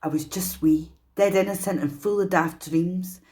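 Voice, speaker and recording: A woman with a Scottish accent performing a dramatic, emotive solo stage monologue as a traumatised young woman raised in an orphanage, pausing deliberately. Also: fairly low pitch, steady tone, mellow, lightly acted. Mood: wry, reminiscing.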